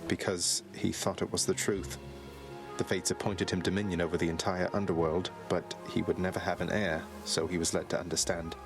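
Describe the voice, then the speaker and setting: A man playing a defiant but courteous young prince in a video game, speaking in a measured, wry way. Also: sits low, flat delivery, over music. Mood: earnest, explaining. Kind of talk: dramatic video game acting.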